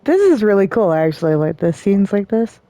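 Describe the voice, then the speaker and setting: A woman's voice: mid-range voice, fairly quick, mellow. A woman giving casual, giggly live commentary while playing a game, with little reactive interjections.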